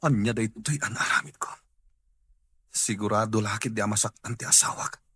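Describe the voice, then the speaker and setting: Actor as a very husky, troubled Ilocano man. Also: fairly low pitch, brisk, sharp tone, no room sound. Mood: guilty. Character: troubled married man, guilty and hesitant. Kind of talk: Ilocano radio drama acting.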